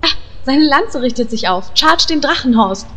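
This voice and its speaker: A woman's voice: sits high, lively intonation, normal speed, a bit bright. A woman playing a mocking, gleefully callous adventurer in a parody fantasy radio play. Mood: amused, mocking.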